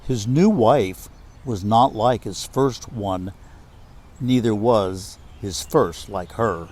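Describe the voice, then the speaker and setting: A man reading literary prose aloud as a storyteller, in measured, deliberate phrases with pauses. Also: on the low side, a little mellow. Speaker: reader of a literary myth.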